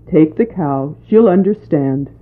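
A woman's voice: low voice, lively intonation, measured pace. A woman playing Jack's worried mother in an old radio-style drama. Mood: weary. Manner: anxious, pleading motherly tone. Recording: tinny phone-like old recording.

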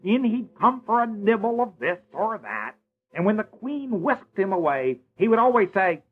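A man's voice: high voice, very animated, normal speed, slightly rough, full character voice. A man playing a kindly older godfather who narrates a fairy tale in a theatrical, playful way. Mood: warm. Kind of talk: storybook narration. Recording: tinny old lo-fi recording.

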